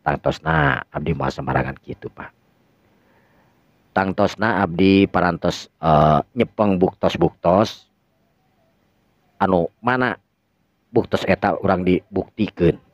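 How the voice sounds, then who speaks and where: Man acting deep-voiced, resolute young hero. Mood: calm, assured. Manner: calm, measured, respectful. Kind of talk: Sundanese radio drama acting. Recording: dull lo-fi recording with echo.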